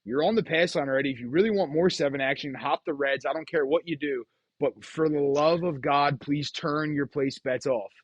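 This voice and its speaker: A man's voice: a little high, brisk, breathy edge, no echo. A man chatting casually and telling gambling stories as the host of the show. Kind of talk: casual chat, storytelling about craps.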